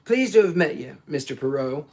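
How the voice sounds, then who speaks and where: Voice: medium pitch, expressive, fairly quick, darkish tone, slightly rough. A reader voicing a brash, easygoing American private detective in an audiobook. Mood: breezy.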